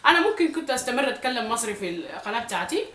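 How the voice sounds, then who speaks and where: Fast-talking Sudanese woman, slightly hoarse. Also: a little high, very animated, fairly bright tone, roomy sound. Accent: Sudanese Arabic with Egyptian traces. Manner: chatty, repeats phrases for emphasis. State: slightly hoarse from recent illness. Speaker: YouTuber. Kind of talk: casual vlog talk to viewers.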